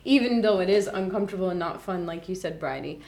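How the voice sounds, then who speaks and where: A woman's voice: lowish voice, fast talker, a little mellow. A young adult woman, a teacher, talking earnestly and thoughtfully in a casual podcast chat, often acting out her own remembered thoughts. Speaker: teacher guest.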